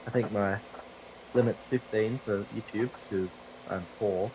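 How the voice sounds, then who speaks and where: A low-pitched young man whose voice is hoarse and fraying from a cold. He rambles and swears through casual game commentary, mocking himself as he goes, into a desk mic with a faint hiss underneath. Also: steady tone, unhurried, darkish tone, low-quality recording. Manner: profane, rambling, self-deprecating. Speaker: gaming video creator. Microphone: desktop microphone.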